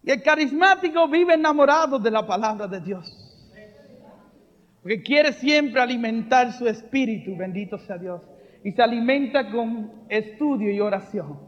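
A man preaching a charismatic sermon, fervent and rising to shouted refrains, with joking asides and soft laughs. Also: extremely high pitch, lively intonation, moderate pace. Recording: reverberant hall, dull lo-fi sound.

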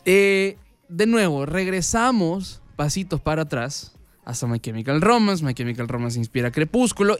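Male radio host, Latin American accent, smooth clean voice in a dry studio, casual emphatic storyteller. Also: fairly high pitch, no room sound. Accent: Latin American Spanish. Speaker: radio music show host. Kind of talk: casual conversational monologue, storytelling to the audience. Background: music.

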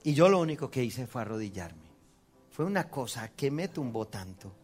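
A Colombian priest with a Colombian Spanish accent, preaching a testimony to a congregation, telling the story with acted-out quotes and laughing at himself. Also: male voice, medium pitch, big pitch swings, moderate pace, darkish tone, slightly rough, faint music behind.

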